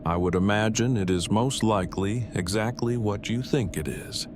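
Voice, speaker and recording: A man playing a stiff, low-voiced pedantic inventor. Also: moderate pace, noisy background, natural voice. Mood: earnest. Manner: formal, verbose, precise wording. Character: Eugene, stiff, pedantic, awkward but earnest inventor man. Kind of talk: fan audio drama acting.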